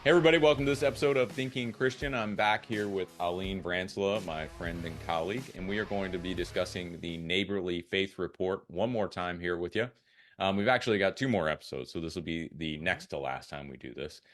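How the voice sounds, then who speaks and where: A man's voice: deep voice, fairly quick, soft background music. An American man hosting a podcast in a conversational style. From United States. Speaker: podcast host.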